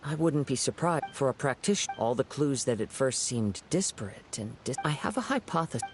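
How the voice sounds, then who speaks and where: A man playing a calm, formal young investigator. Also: mid-range voice, lightly acted. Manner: measured, formal, deductive. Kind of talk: video game voice acting. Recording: game voice with music behind. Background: game background music.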